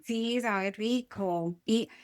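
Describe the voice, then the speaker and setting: A woman's voice: on the high side, extremely slow, dry recording, studio quality. A woman with a Colombian paisa accent, co-hosting a podcast and explaining food habits in a chatty, easygoing way. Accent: Colombian paisa (Antioquia). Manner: chatty, explanatory, laughs easily. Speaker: co-host, nutrition specialist. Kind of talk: casual podcast conversation.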